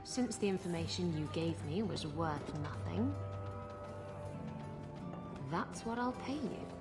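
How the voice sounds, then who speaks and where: Casting: cocky, dangerous game rogue woman, sultry taunts at a very slow pace, loud music behind. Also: lowish voice, expressive. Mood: taunting. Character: mocking, dangerous, confident female rogue. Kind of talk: video game character acting.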